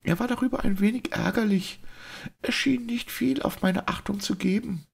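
Brave young lady, put on by a male reader: high, trembling, clear, low in volume. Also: moderate pace, heavily acted. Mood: composed. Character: young noblewoman, brave, defiant daughter. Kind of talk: audiobook reading.

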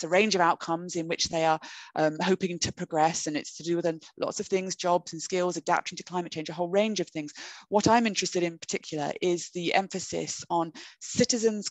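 A woman academic giving a recorded webinar lecture over a video call, measured and explanatory, with occasional "um"s and rephrasings. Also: lowish voice, no room sound. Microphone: computer webcam mic on a video call.

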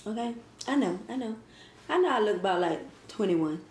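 A woman's voice: mid-range voice, lively intonation, a little fast, a little mellow. A woman in her thirties chatting casually while she eats, laughing often and talking between bites. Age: 30s. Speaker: mukbang vlogger. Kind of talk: casual Q and A chat while eating. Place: home kitchen, indoors. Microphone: fixed camera across a table. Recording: echoey kitchen with faint ambient noise.

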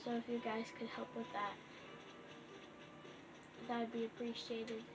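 A woman's voice: a little high, completely flat delivery, over music, low-quality recording. Chatty young girl filming her gameplay. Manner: chatty, reads game letters aloud haltingly. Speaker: gaming vlogger. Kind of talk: casual gameplay chat. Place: dim bedroom in front of a TV.

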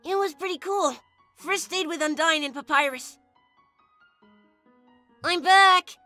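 Woman playing very high, bright goat boy. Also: slow, no echo, soft background music, exaggerated voice. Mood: eager. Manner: excitable, boyish. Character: eager youthful male goat monster boy. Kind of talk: amateur comic dub acting.

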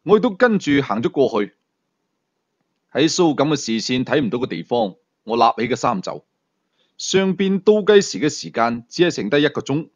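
A man's voice: mid-range voice, sing-song, moderate pace, lo-fi sound. A man reading a thriller story as dramatic scripted narration. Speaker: audiobook storyteller.

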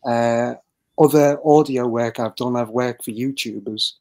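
A man's voice: medium pitch, fairly even intonation, a little slow, a little mellow. A man speaking as a podcast guest, modest, polite and hesitant, over an online call. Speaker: guest, amateur actor. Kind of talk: interview chat.

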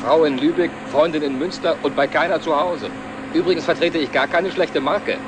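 A man's voice: fairly high pitch, normal speed, natural voice. An actor playing a garrulous middle-aged travelling salesman in a 1960s German TV drama, rattling off self-satisfied patter. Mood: chatty. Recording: noisy old film, vehicle interior. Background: engine and road noise.

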